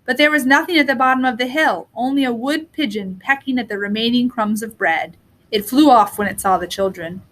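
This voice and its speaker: A woman reading a children's story aloud in an expressive storytelling style. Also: fairly high pitch, fairly quick, rough recording. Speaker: storybook reader.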